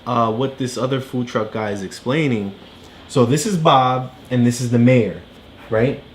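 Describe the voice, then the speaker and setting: A man tutoring a child, casually explaining a storybook in simple, halting steps. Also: medium pitch, slight background noise.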